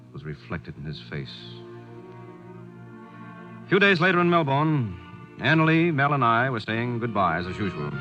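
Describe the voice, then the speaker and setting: An actor playing an earnest, somber American war correspondent and narrator in a 1940s radio drama. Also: male voice, on the low side, dull audio. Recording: vintage 1940s radio broadcast with music.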